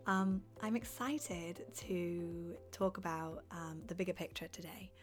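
A woman hosting a motivational self-help podcast, her voice husky and half gone as she loses it, talking in an encouraging way. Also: lowish voice, measured pace, over music. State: losing her voice. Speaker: podcast host.

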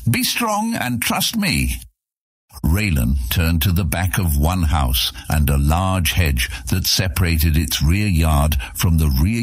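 Really deep voiced guy reading a fantasy audiobook, kinda raspy, dramatic, super dry close sound. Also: dry recording. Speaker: audiobook narrator. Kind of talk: scripted narration of an epic fantasy story.

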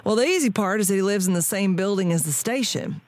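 A woman's voice: mid-range voice, lively intonation, fast talker, darkish tone, no room sound, lightly acted. A woman playing a world-weary, plain-spoken radio tinkerer with a folksy frontier manner in an audio drama. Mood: knowing, practical.

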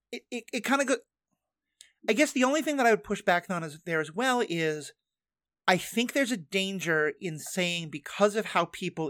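A podcast host in a conversational interview, talking in animated, quick bursts and restarting sentences while working through ideas. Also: medium pitch, dry recording, clean studio sound. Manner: animated, fast bursts, thinking aloud with restarts.

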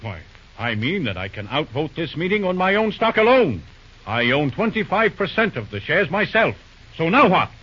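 An actor playing a pompous, scheming railroad shareholder who blusters indignantly in an old-time radio drama. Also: male voice, a little high, big pitch swings, normal speed, bright, forceful tone, husky, exaggerated voice. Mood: smug, defiant. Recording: muffled, fuzzy old radio recording.

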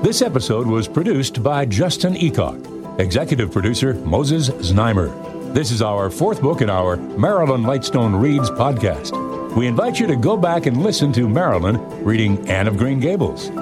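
Man, podcast announcer, scripted outro, animated intonation, loud music bed. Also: mid-range voice, moderate pace.

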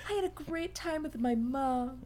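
A woman's voice: high-pitched, lively intonation, unhurried, a crowd in the background. A woman in her late twenties co-hosting a podcast, joking about herself with frequent giggles and reading listener letters. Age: late 20s. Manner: giggly, self-mocking, reads listener letters. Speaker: podcast co-host. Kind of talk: casual comedic chat.

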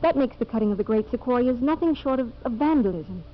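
A woman's voice: a little high, expressive, a little fast, close to an everyday voice. An actress playing a principled, well-spoken young woman, sincere and firm, in a 1950s radio drama. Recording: noisy, muffled vintage radio recording.

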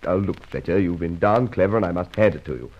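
Muffled old radio recording of a stolid police inspector. His husky voice rises and falls in lively fashion. He sounds blunt, skeptical and a touch baffled, in old-fashioned drama style. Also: low voice, quick pace. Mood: puzzled, conceding. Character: stolid, gruff male police inspector, skeptical and slightly slow. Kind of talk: mid-century radio drama acting.

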